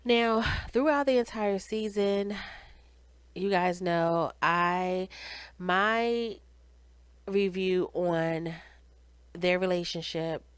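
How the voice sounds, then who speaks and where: Congested, nasal woman with slow, lowish voice. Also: steady tone, clean tone, dry recording. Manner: chatty, conversational, addressing viewers directly. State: congested with cold or allergies. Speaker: video reviewer and channel host. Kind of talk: casual reality TV recap.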